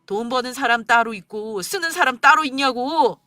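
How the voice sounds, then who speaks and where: A woman voicing an angry, stingy husband who shouts and sneers at his wife. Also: high-pitched, big pitch swings, moderate pace, dry recording, studio quality, soft background music, pitched above the performer's own voice, full character voice. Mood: furious. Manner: shouting, scornful and bitter.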